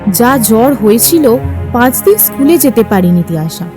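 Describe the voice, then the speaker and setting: A woman's voice: a little high, big pitch swings, normal speed, over music. A woman reading scripted narration for an audio story.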